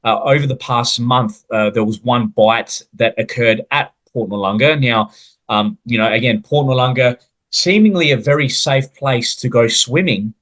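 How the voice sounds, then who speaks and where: A man's voice: mid-range voice, normal speed, fairly bright tone, low-quality recording. A man with an Australian accent hosting a podcast interview, speaking in an earnest, wordy way. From South Australia. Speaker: podcast host.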